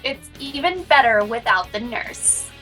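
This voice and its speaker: A woman with a high-pitched, bright voice co-hosts a casual let's-play. She is upbeat and expressive, reading out character dialogue between bits of chat. Faint guitar music sits behind her. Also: measured pace, poor audio. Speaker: co-host of a gaming show. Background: soft guitar game music.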